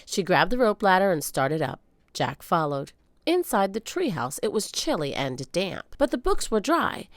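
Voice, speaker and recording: Expressive woman storyteller, slightly low voice. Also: normal speed, dry recording. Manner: animated, dramatic emphasis. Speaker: children's book reader. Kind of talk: expressive read-aloud storytelling.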